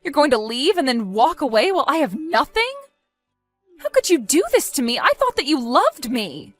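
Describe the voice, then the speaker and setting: Audio-story role: desperate, evasive wife played by a woman, very high voice, animated swings, pleading and defensive. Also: moderate pace, faint music behind. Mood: hurt, indignant. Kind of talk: acted audio story dialogue.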